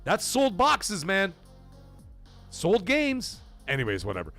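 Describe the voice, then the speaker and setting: A man hosts a casual gaming livestream, reading viewer super chats and firing off blunt, profane opinions. His voice sits a touch high for a man, and he jumps loud for shout-outs before settling back. Faint music plays underneath. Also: takes it slowly. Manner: excitable, swings loud for donation shout-outs. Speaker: livestream host.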